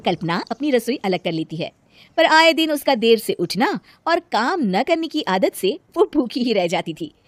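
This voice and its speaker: A woman narrating a scripted animated moral tale as a storyteller. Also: a little high, moderate pace, no room sound, faint music behind.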